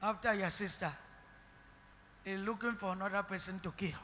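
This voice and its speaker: A man with a Nigerian accent, a prophet preaching into a handheld mic in a large church hall, declaring and shouting in bursts. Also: sits high, steady tone, normal speed, a little hiss or hum. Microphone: handheld microphone. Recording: long hall reverb, music behind.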